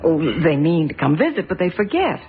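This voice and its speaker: A woman playing a gentle, worried mother in a radio drama, soothing and gently reproving. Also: on the low side, big pitch swings, fairly quick. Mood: consoling. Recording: muffled audio with faint music.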